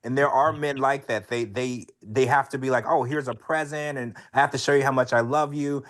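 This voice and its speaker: A man's voice: medium pitch, fairly even intonation, a little fast, a bit bright. A man co-hosting a casual podcast chat, talking bluntly with plenty of swearing and strong opinions. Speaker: podcast co-host.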